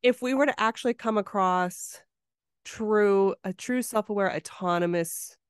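A woman's voice: medium pitch, unhurried, clear, resonant tone, no room sound, studio quality. A woman hosting a podcast in a casual, conversational interview style. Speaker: podcast host.

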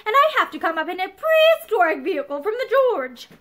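A woman's voice: high-pitched, expressive, brisk, bright, forceful tone. A woman voicing an irritable, condescending husband in a storybook, with a testy, domineering manner. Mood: angry.

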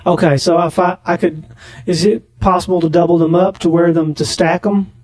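A man making a deadpan prank phone call, rambling naively and slow to catch on. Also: high voice, no room sound. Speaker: prank caller on a comedy podcast.

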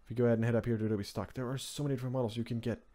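A man from Norway hosting a casual software tutorial in English as a second language, talking in a rambling, offhand way with informal asides. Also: medium pitch, steady tone, rapid-fire. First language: Norwegian. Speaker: tutorial host. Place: indoors at a computer, screen recording voice-over.

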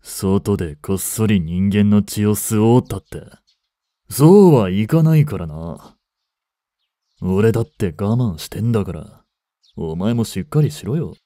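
A man's voice: lowish voice, lively intonation, unhurried, warm tone, no room sound, studio quality. An actor playing a blunt, bossy vampire older brother in a Japanese romance voice drama, scolding as he holds back his hunger. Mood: stern. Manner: blunt, rough-spoken, bossy.

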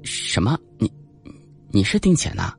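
A man's voice: on the low side, big pitch swings, measured pace, gravelly, slightly rough, faint music behind, heavily acted. A man voicing a brash, glib, chatty young woman reporter in an audiobook. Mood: flustered. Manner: pushy, glib.

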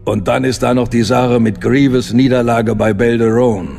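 An actor playing a dignified old Sith apprentice in a radio drama, measured, formal and deferential. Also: male voice, mid-range voice, flat delivery, gravelly, dark tone, soft background music, close to an everyday voice. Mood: grave, attentive. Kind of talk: German science-fiction radio drama acting.

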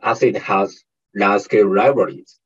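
Low husky man on muffled audio. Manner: read-out, measured delivery. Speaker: senior director presenter. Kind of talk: webinar presentation. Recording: dull muffled webinar audio.

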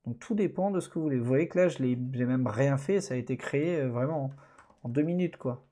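A man talks through a screen-recorded tutorial in a relaxed, hesitant way, with many fillers, as an online course instructor. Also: mid-range voice, fast talker.

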